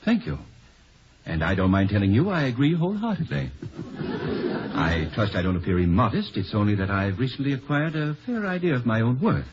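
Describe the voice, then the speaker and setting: An actor playing a proud, formal older scholar with a dry, self-satisfied manner in a 1950s radio comedy-drama. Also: male voice, mid-range voice, very animated, moderate pace, a little hiss or hum, close to an everyday voice. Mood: smug, wry. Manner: formal, pompous. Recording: muffled old 1950s radio recording.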